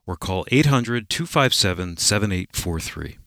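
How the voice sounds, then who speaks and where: Low-pitched American male radio announcer. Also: expressive, normal speed, fairly bright tone, no echo, studio quality. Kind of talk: scripted promotional narration. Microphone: close studio microphone.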